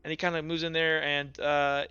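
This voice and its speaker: A man running a tabletop roleplaying game, improvising narration in a halting, loose, conversational way. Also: a little high, little pitch movement, sharp tone, clear, resonant tone, no echo. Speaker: game master. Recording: dull audio with music.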